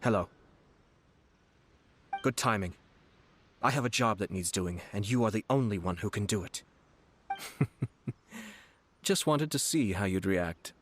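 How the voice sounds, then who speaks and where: An actor playing a refined young nobleman in a video game, courteous, composed and slyly teasing, with formal, measured speech. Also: on the low side, animated, slight background noise. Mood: playful, teasing. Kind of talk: scripted game character voice lines. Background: background music.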